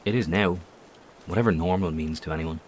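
A man's voice: deep voice, a little fast, slight background noise, natural voice. A man playing a composed professor in an audio drama, authoritative and formal in his wording. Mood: terse, guarded. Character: composed, authoritative male professor leading a group.

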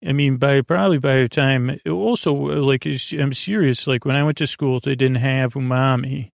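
A middle-aged man with a gravelly voice hosting a bedtime podcast, talking in a halting, meandering monologue that keeps interrupting itself. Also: medium pitch, fairly even intonation, dry recording, studio quality. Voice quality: gravelly, creaky. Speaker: podcast host.

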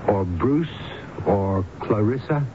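An actor playing a calm, probing doctor and hypnotist in 1970s radio drama style, speaking in a measured, reassuring way. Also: male voice, on the low side. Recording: dull noisy old radio broadcast recording.

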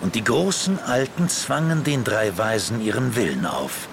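An actor playing a grave, cryptic ancient magician in a fantasy audio drama. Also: male voice, fairly low pitch, animated, normal speed, creaky, background noise. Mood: solemn, urgent.

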